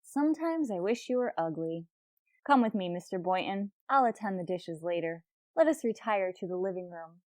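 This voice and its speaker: An actress playing a wry, lovelorn schoolteacher with deadpan, sarcastic wit in an old-time radio comedy. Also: female voice, on the low side, moderate pace, dry recording, studio quality, lightly acted. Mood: wry, resigned.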